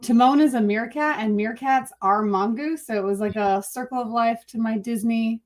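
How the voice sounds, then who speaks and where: A woman's voice: a little high, moderate pace, a little mellow. A woman in her thirties chatting casually as an interview guest over a video call. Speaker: interview guest, marketing agency owner. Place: room at home, on a video call.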